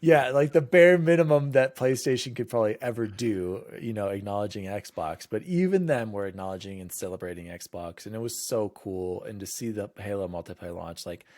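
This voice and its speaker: A man's voice: fairly low pitch, moderate pace, hardly any pauses, darkish tone, dry recording, clean studio sound. A man hosting a casual gaming podcast, chatting in an enthusiastic, emphatic way. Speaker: podcast host.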